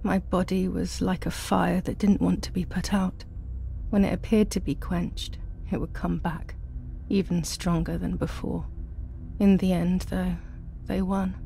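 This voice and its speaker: A haunted young woman narrates her past in a dark game drama. Her voice is dark and low, held to a narrow, numb range. She speaks in a measured, somber, confessional way over music. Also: vocal fry, slight background noise. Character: traumatized young woman recounting war and asylum, haunted, numb.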